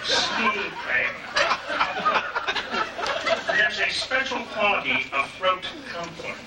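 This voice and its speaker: Hip 1960s variety showman played by a man, high-pitched, unhurried delivery, echoey stage sound. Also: sing-song, over a noisy crowd, full character voice. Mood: smooth, salesmanlike. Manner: hip slangy crooner, chuckling. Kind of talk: 1960s television variety sponsor spot, on stage. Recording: roomy stage, distant mic, loud hiss.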